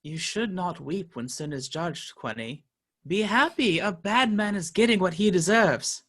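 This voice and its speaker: A man's voice: high-pitched, lively intonation, measured pace, a bit bright, exaggerated voice. A man playing a cruel, pompous medieval sheriff in a radio play, preaching law and order with menace. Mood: menacing, preaching.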